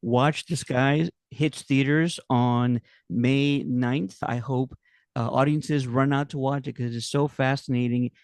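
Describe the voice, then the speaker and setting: A man's voice: mid-range voice, steady tone, takes it slowly, no room sound. A male interviewer asking polished, complimentary questions and wrapping up an entertainment interview.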